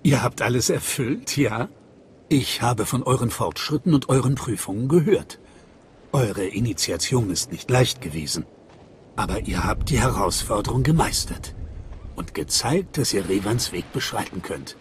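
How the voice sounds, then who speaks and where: A man's voice: medium pitch, animated, moderate pace, slight background noise, close to an everyday voice. Man acting a ceremonious cult initiator. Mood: approving. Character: formal male senior initiator of secret order. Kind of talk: video game cutscene acting.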